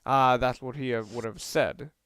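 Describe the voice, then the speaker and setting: A man's voice: medium pitch, steady tone, moderate pace, noisy background. A man giving casual, hesitant video game commentary with wry, self-deprecating asides. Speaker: video game let's player. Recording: game music and gunfire behind. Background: game music, gunfire.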